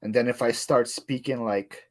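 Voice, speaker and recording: A man's voice: mid-range voice, fairly even intonation, normal speed. A man from Ukraine with a slight Ukrainian and northeastern American accent, chatting casually as a podcast guest, laughing often and repeating his words. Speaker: guest reseller.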